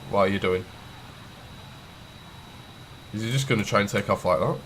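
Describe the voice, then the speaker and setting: A man's voice: on the low side, normal speed, a bit bright, slight background noise. A man with a cold, sniffling, casually talking through a game tutorial as he clicks and types. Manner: thinks aloud while clicking and typing, loose and chatty. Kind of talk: casual screen-recorded tutorial.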